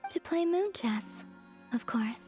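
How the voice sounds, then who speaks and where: A woman voicing a serene young moon goddess in a video game, soft-spoken, composed and unhurried. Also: on the high side, animated. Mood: serene, wistful. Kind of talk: animated video game voice acting. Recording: faint music behind the voice. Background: faint game music.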